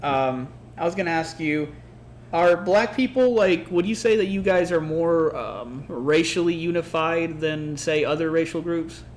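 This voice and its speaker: A man's voice: a little high, unhurried. A man from Mexico co-hosting a podcast, talking in an earnest, casual way with many fillers. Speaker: podcast co-host. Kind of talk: casual unscripted conversation. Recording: faint background noise.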